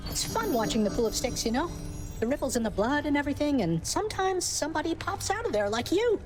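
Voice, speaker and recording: A woman's voice: high voice, big pitch swings, fast, music in the background. A woman voicing a chirpy, easygoing young underworld greeter in a video game, chatty and informal. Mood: chipper, chatty. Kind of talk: video game character acting.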